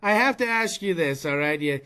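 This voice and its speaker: A man hosting a podcast interview, with loose, joking, profane chat and quick questions. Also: a little high, expressive, bright, forceful tone. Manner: loose, swearing, jokey, asks quick questions. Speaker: podcast host.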